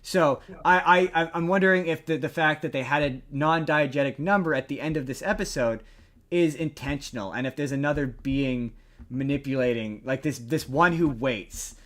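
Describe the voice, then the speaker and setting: A man's voice: mid-range voice, moderate pace, a bit bright. A man hosting a casual pop-culture discussion, talking in a rambling way and repeating words for emphasis. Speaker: podcast host.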